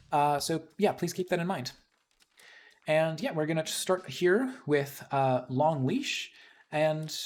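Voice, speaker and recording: A man with a Canadian accent hosting a casual livestream interview in a chatty, reassuring manner. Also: on the high side. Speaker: host interviewing game developers.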